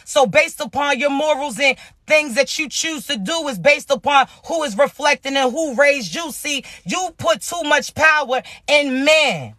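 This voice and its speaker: A man with a loud, raspy voice giving a casual spiritual message to his viewers in an emphatic, slow, preaching style. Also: very high voice, animated, no echo, studio quality.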